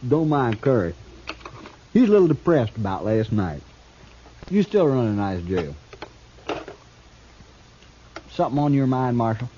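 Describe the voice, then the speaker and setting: Guy playing a sly mocking western jailbird, very animated pitch, bit hissy old radio drama. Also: natural voice. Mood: mocking, relaxed. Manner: folksy, mocking drawn-out delivery. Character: cocky, sly, smart jailed outlaw killer. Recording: dull, noisy old radio recording.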